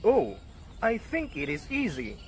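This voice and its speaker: A man voicing a friendly, helpful zebra puppet, explaining simply and deliberately in a scripted educational show. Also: sits high, wildly swooping pitch, a little mellow, slight background noise, exaggerated voice. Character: helpful friendly male zebra puppet, confident little teacher.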